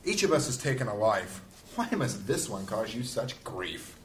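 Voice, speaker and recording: A man's voice: fairly low pitch, big pitch swings, moderate pace, fairly bright tone, a little hiss or hum. A man playing an arrogant, scornful mage councillor in a fantasy audio drama, with a theatrical, cutting delivery. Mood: argumentative.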